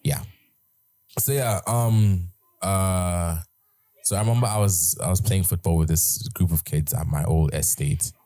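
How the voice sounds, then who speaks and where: A man's voice: deep voice, fairly even intonation, measured pace, dark tone, no room sound. A young British man with a British accent telling a personal story in casual chat, rambling and restarting his sentences. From Britain. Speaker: host.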